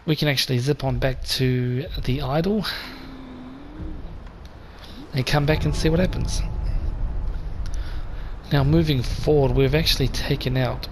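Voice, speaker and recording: A man with a slightly croaky voice hosting a let's play, chatting casually and addressing viewers directly. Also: mid-range voice, measured pace, long pauses. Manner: relaxed and chatty, talks straight to viewers. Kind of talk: casual gameplay commentary. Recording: voice over game sound noise.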